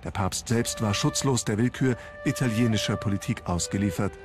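Low-voiced male documentary narrator with music. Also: brisk. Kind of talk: scripted narration.

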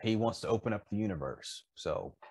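A man in his fifties chatting casually as a podcast co-host, often pausing mid-thought. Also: lowish voice, animated, gravelly, slightly rough, dry recording, studio quality. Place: indoors in a home collectibles room.

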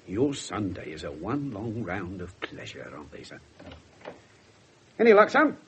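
Sardonic English police inspector, animated delivery. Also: male voice, sits low, a little slow, breathy edge, lo-fi sound, full character voice. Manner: sardonic, wisecracking, brusque. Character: irreverent, scruffy police inspector, sardonic and blunt. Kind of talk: 1970s radio drama acting. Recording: noisy 1970s radio broadcast recording.

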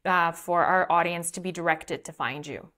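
A woman's voice: on the low side, normal speed, a bit bright. A young woman from Moldova, a podcast host whose first language is Russian, chatting casually with lots of likes and you-knows. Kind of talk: casual interview chat. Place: bright room with large window.

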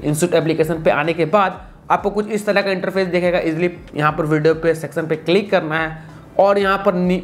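A man, giving a chatty, informal step-by-step tutorial with English tech words mixed in. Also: high-pitched. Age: 20s. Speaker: video tutorial host. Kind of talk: casual step-by-step tutorial to camera. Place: small indoor room with computer setup. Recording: clearly noisy room recording.

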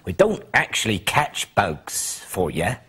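A man's voice: fairly low pitch, huge pitch swings, takes it slowly, bright, forceful tone, breathy edge, full character voice. A man voicing a kindly, chatty grandmother in a children's story, with a homely, encouraging manner. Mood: cheerful, encouraging.

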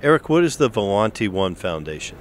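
A man's voice: lowish voice, big pitch swings, a little fast, a little hiss or hum. A middle-aged man hosting a broadcast interview with polished, brief questions on a handheld mic in a large convention hall. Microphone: handheld branded mic.

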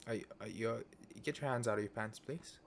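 A young man talks casually to a friend over a voice call, teasing and joking. Now and then he drifts into a hushed, mock-dramatic musing. A faint hiss sits under the voice. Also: medium pitch, fairly quick, vocal fry. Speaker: gaming video creator.

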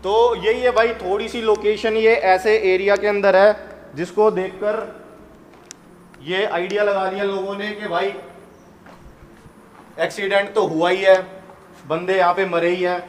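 High-voiced young man, echoey recording. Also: little pitch movement, measured pace, long pauses. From India. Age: 20s. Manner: chatty, colloquial, explains step by step. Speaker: host of a haunted-location exploration vlog. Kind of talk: casual explanatory vlog talk to camera. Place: large derelict factory and overgrown grounds at night. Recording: echoey derelict building with clear background noise.

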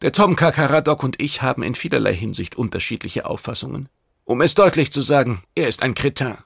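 A brash alien commander, acted out by a male narrator in a science fiction audiobook. He is blunt, impatient and domineering, with a raised, forceful delivery and a close, dry sound. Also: medium pitch, expressive, a little fast, vocal fry, breathy edge, no echo, voice pushed up. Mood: scheming, demanding.

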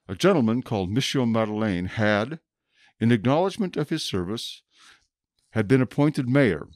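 An actor playing a stern, obsessive police inspector in a radio drama, speaking in a measured, formal, deliberate way. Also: male voice, lowish voice, a little mellow, dry recording, clean studio sound. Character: stern, obsessive male police inspector dictating his notebook.

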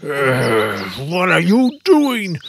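A woman's voice: lowish voice, huge pitch swings, drawn-out words, creaky, music in the background, exaggerated voice. A woman voicing a proud, grumpy male lion who rules the forest in a cartoon, talking down to others. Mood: irritated. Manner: haughty, dismissive. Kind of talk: cartoon voice acting.

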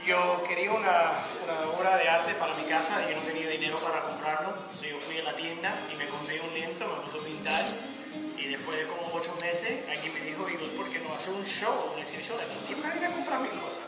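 A man's voice: fairly high pitch, quick pace. A man in his thirties, a painter, who talks casually and slips English words into his Spanish. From United States. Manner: relaxed, mixes in English words, searches for Spanish words. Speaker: painter and guest artist. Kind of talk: casual chat. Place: large artist's studio indoors. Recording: echoey studio hall with loud music. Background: music.

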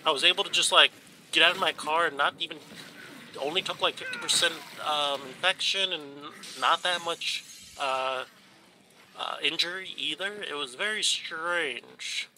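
A man's voice: a little high, moderate pace, bright, forceful tone, slight background noise, low-quality recording. Rambling gamer man, stuffy nose. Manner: rambling, many ums and restarts. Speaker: gaming YouTuber. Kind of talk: casual let's play commentary.

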